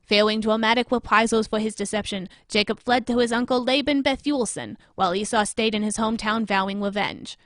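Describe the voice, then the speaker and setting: A woman playing an earnest, probing TV newsmagazine anchor in an audio drama, with measured, dramatic narration. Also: fairly high pitch, fairly even intonation, a bit bright, no echo, clean studio sound, natural voice. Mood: grave, intrigued. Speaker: host.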